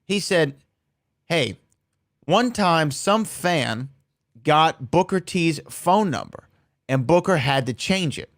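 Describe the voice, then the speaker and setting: Higher-voiced American man, close dry sound. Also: lively intonation, slow, long pauses, fairly bright tone, no room sound. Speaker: podcast co-host. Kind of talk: casual conversational hosting.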